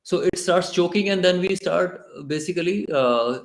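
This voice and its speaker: A man's voice: high-pitched, normal speed. Indian man giving an echoey temple talk. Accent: Indian English. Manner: conversational, with hesitations and restarts. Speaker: lecturer at a temple. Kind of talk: devotional lecture. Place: temple hall.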